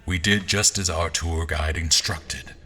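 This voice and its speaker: A man playing a formal, wry royal adventurer who narrates his journey in a measured audio drama storytelling style. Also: deep voice, fairly even intonation, bright, forceful tone, a little hiss or hum. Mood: measured, brooding. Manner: formal, deliberate, storyteller delivery. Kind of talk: first-person scripted narration in an audio drama.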